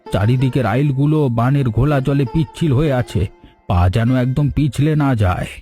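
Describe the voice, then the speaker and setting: A man portrays an older village father in a cartoon tale, anxious and tender. His voice is mellow and medium in pitch, earnest in delivery. Faint instrumental music plays behind. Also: normal speed, close to an everyday voice. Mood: worried. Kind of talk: Bengali cartoon story dialogue.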